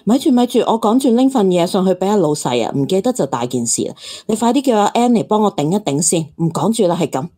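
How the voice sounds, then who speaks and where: Woman acting as hurried office worker, Hong Kong Cantonese accent, mid-range voice, audio drama. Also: mid-range voice, very animated, warm tone. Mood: busy, brisk. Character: busy, hurried female office colleague.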